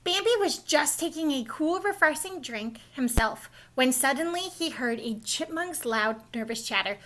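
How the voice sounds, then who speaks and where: A woman reads a picture book aloud for young children. Her voice is high and bright, and she tells the story expressively, taking care that small listeners follow along. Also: lively intonation, moderate pace, slight background noise. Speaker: storybook reader, school staff.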